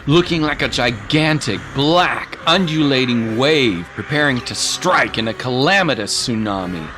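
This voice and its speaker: A man narrating a dramatic story from a script in a solemn, deliberate manner. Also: mid-range voice. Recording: music behind the voice. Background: music.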